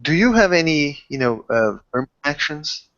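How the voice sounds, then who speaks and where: A man's voice: fairly high pitch, sing-song, moderate pace, a bit bright. A man in a casual tabletop roleplaying session, speaking in fragments and often rephrasing mid-sentence. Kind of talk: improvised casual roleplay chat. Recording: dull lo-fi voice recording with clicks.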